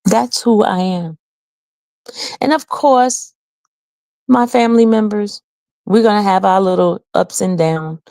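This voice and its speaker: A woman streamer speaks from the heart to her viewers, earnest and personal, her voice carrying a gravelly fry at a middle pitch. The sound is dry and close, with no room echo. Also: unhurried, long pauses, mellow. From Haiti. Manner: earnest, heartfelt, reads chat aloud. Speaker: channel host. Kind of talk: casual livestream talk to viewers.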